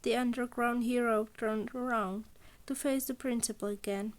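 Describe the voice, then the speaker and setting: A woman's voice: fairly high pitch, fairly even intonation, normal speed. A woman reading a story aloud as an audiobook narrator.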